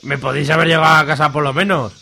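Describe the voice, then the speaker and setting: A man with an Aragonese accent playing a drunk, excitable, foul-mouthed young man in a comic radio serial, slangy and blustering. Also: on the high side, very fast, vocal fry, full character voice. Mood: confused. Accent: Aragonese Spanish. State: acting drunk.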